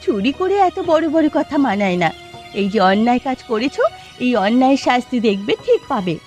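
A woman voicing a sharp-tongued, easily hurt young village wife in a cartoon. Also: on the high side, expressive, a little fast, muffled recording, soft background music, close to an everyday voice. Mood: hurt, quarrelsome. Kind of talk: Bengali cartoon dialogue.

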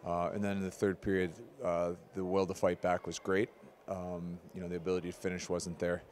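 A man in his forties, a head coach, giving a measured, analytical postgame interview with frequent ums, into a handheld mic in an arena corridor. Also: sits low, completely flat delivery, darkish tone, a little hiss or hum.

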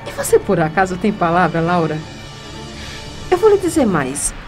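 Young Brazilian female blackmailer, played by an actress: defiant, self-righteous, highly animated, radio soap with faint music. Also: moderate pace. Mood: taunting. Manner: theatrical, taunting. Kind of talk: radio soap opera acting.

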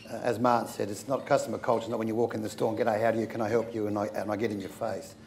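A man's voice: lowish voice, level tone, rapid-fire, gravelly, darkish tone, a little hiss or hum. An older man, speaking on a panel and telling everyday anecdotes in a conversational way. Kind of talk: panel discussion. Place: event studio with branded backdrop. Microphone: lapel mic.

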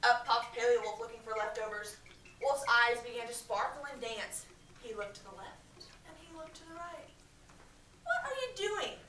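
An American woman reads a story aloud in a high, projected schoolteacher voice. She is expressive and breaks off to question her pupils. She is picked up from across an echoey classroom with faint noise behind her. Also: normal speed, recorded from a distance. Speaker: elementary school teacher. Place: medium-sized school classroom, indoors. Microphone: far camera.

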